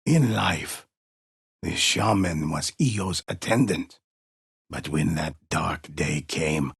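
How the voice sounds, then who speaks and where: An actor playing a grave old male storyteller in a video game, telling ghost legends in a solemn, ominous, measured way with a gravelly, husky voice. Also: very deep voice, fairly bright tone, dry recording, studio quality, exaggerated voice. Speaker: narrator.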